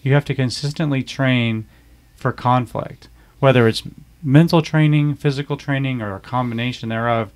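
A man's voice: medium pitch, moderate pace. A man co-hosting a casual podcast about a martial arts school, agreeable and earnest, building long explanations. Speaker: aikido school co-host.

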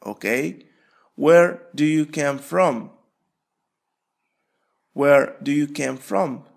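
A man teaching English, repeating short phrases slowly and switching to quick explanations. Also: fairly high pitch, vocal fry. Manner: repeats phrases slowly, stretching words apart; explains rapidly between drills. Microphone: voice-over.

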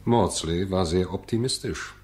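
A man's voice: deep voice, measured pace, a little mellow. A man playing a composed law-office worker who narrates and acts in a scripted crime radio play. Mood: sober, suspenseful. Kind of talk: scripted dramatic narration and dialogue.

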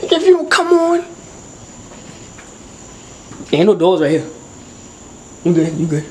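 A young man talks in loose, excitable slang to a handheld camera, his voice sitting a bit high for a man. He is exploring a dark abandoned building at night and keeps dropping to a whisper. The camera audio is noisy, with crickets chirping behind him. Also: slow pace. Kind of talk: casual handheld vlog.

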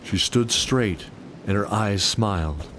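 A man's voice: lowish voice, slow, a bit bright, a little hiss or hum. A man reading scripted dramatic narration as the narrator of a fantasy story.

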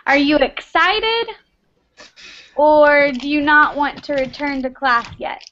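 A young woman with a Texan accent teaching a beginner English class over a webcam video call from her bedroom, speaking clearly and encouraging her students. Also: high-pitched, expressive, slow pace, fairly bright tone. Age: young adult. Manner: encouraging, repeats student answers, laughs often. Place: bedroom at home. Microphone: laptop webcam on video call. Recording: dull lo-fi video call audio.

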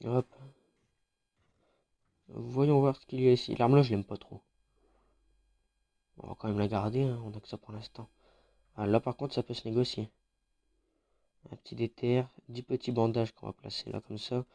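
A man's voice: mid-range voice, fairly even intonation, moderate pace, gravelly, long pauses, warm tone. A man with a French accent and a head cold, chatting casually with fillers as he commentates a mobile battle royale. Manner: chatty, informal, with frequent fillers. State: has a head cold, congested. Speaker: gaming YouTuber.

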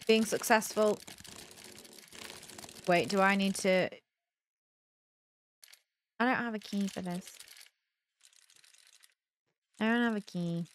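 A woman's voice: mid-range voice, slow pace, pauses often, a little hiss or hum. A young adult woman giving casual playthrough commentary into a close desk mic, thinking aloud and reacting as she plays. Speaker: gaming video host. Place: small room at home, indoors. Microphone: close desk microphone on an arm.

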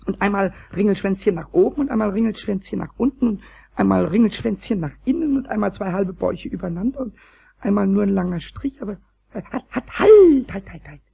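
Mischievous little kobold, very hoarse squeaky croak with fry, stammering excitedly, children's radio play, dull cassette sound. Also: male voice, fairly quick, exaggerated voice. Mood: busy, pleased. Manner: stammering, repeating words excitedly. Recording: dull old cassette recording.

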